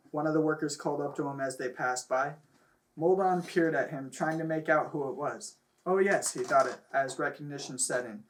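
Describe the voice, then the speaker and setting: A man reading a comic story aloud as a host, stumbling now and then, recorded on a camera microphone. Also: a little high. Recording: noisy distant camera microphone.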